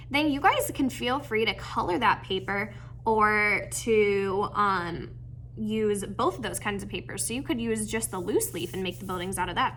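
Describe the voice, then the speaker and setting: A woman's voice: medium pitch, sharp tone, a little hiss or hum. A woman teaching an art project to children in a casual, encouraging, instructional way. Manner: encouraging, casual, addresses listeners as guys. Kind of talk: friendly instructional how-to for kids.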